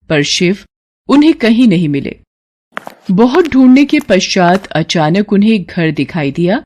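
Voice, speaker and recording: A woman tells a scripted devotional story. Her voice sits at a middle pitch and moves with very lively intonation, in a storyteller's delivery. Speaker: narrator.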